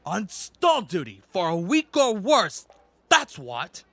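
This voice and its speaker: A man acting in an audio drama as a young hero posing as a pompous high priest, blustering and stumbling over his words. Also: huge pitch swings, slow pace, sharp tone, faint music behind, full character voice. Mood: pompous scolding.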